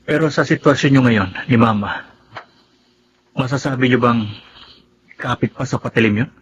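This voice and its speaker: Actor doing respectful nosy son in a radio soap, middle pitch, slightly noisy. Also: male voice, normal speed, a bit bright, lightly acted. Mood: probing, concerned. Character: inquisitive, respectful young adult son. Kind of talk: Filipino radio drama acting.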